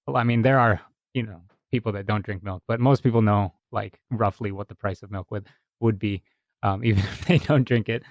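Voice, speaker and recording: A young man from Hawaii talks casually as a podcast guest, rambling a bit and laughing often. His voice sits fairly low. The recording is dry and close, with no room sound. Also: fairly even intonation, brisk, no room sound. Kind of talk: casual conversation.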